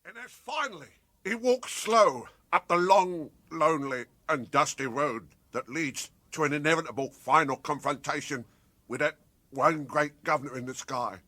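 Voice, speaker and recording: Bright-toned, pompous British convict orator. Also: male voice, a little high, normal speed, no echo. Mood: mock-solemn. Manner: grandiloquent, theatrical oratory. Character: florid, pompous male convict turned mock advocate. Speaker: actor in a radio comedy. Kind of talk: British radio comedy acting.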